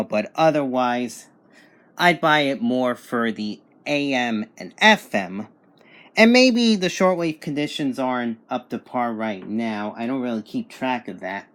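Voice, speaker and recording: A man reviewing a product in a casual, hands-on way, matter-of-fact and a little halting. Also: mid-range voice, a bit bright.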